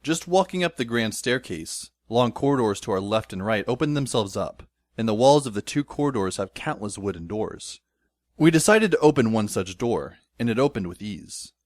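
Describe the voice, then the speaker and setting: A man's voice: fairly low pitch, animated, normal speed, dry recording, close to an everyday voice. A man playing a wry, sardonic teenage schoolboy who narrates his adventure as dry, descriptive audio drama storytelling. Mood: wry narrating. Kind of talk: scripted first-person narration in audio drama.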